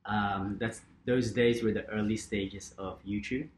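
Low-voiced rambling man from the Philippines. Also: a little slow, a little hiss or hum. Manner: relaxed, rambling, many fillers and quoted asides. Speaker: podcast guest, krump dancer. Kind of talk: casual interview chat. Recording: roomy echo.

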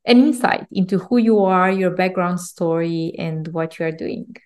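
A woman's voice: fairly low pitch, normal speed, a little mellow. A woman hosting a podcast, reading a scripted introduction and asking enthusiastic, earnest questions. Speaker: podcast host.

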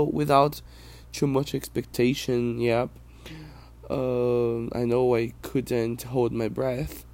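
Slow, reflective Brazilian-accented man. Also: mid-range voice, gravelly, darkish tone. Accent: Brazilian Portuguese. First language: Portuguese. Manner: reflective, hesitant, halting. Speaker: podcast host. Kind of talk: personal monologue podcast.

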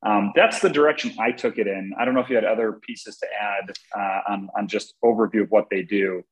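Male co-host, lowish voice, thoughtful, hesitant delivery with fillers. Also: brisk, highs cut off. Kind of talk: casual business discussion.

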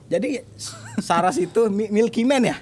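A man, in relaxed joking conversation, laughing often. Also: sits high, normal speed, slight background noise. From Indonesia. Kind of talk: casual chat.

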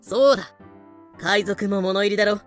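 An actor playing a greedy, breezily optimistic pirate captain in an audio drama, haughty and flippant. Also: male voice, high voice, moderate pace, soft background music, natural voice. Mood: breezy.

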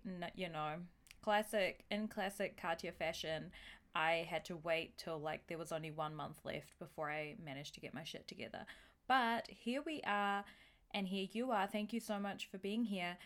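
Chatty, lower-pitched young woman podcaster. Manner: chatty, informal, self-deprecating, slangy. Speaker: podcast host. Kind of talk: casual solo podcast intro.

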